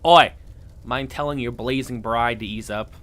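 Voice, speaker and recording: A man's voice: medium pitch, moderate pace, noisy background, natural voice. A man playing a surly, sarcastic, foul-mouthed grudging informant in an audio drama. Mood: mocking. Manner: sarcastic, irritable, cursing.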